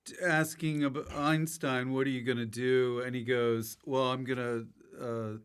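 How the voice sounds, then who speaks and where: Male podcast host, mid-pitched voice, casual comedic interviewing, dry deadpan quips and short questions. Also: a little slow, clean tone.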